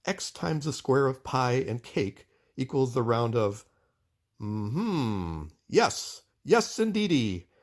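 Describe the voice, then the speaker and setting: Storybook toy maker's helper, male, acted by a man reading aloud: flustered, eager inventor, very lively pitch, mutters and exclaims. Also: slow. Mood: muttering, absorbed. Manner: hesitant muttering with throat-clearing, bursting into excitement.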